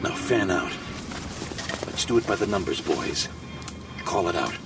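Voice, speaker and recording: Sardonic squad sergeant: low, gruff, animated, music blaring over him. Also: male voice, measured pace, heavily acted. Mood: gruff, commanding. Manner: gruff, commanding, clipped. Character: gruff, sardonic male space-trooper sergeant. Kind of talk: sci-fi audio drama acting. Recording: distant voice under very loud music.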